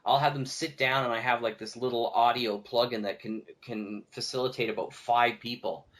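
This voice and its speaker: A man with an average-pitched voice talks casually as a guest on a podcast. He is an animated storyteller who slips into quoted remarks as he goes. He sounds like a teacher explaining something he knows well. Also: normal speed. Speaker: podcast guest, teacher and audio drama producer. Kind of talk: casual conversational interview talk.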